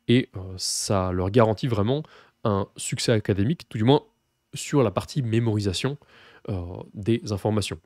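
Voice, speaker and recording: A French man who teaches Russian, explaining his course in a relaxed way with many fillers and restarts. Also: medium pitch, dry recording, clean studio sound. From France. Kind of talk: course presentation to camera.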